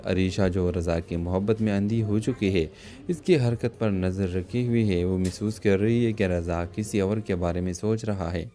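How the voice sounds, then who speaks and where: A man's voice: deep voice, steady tone, normal speed, mellow. A man reading a scripted recap of a television drama as a review narrator.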